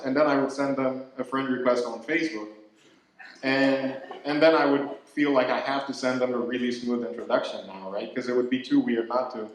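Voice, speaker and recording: A young man from Ghana, doing a stand-up set with a dry, halting delivery full of fillers and restarts, laughter behind him. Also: medium pitch. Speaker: stand-up comedian. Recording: very echoey room, faint noise. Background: audience laughter.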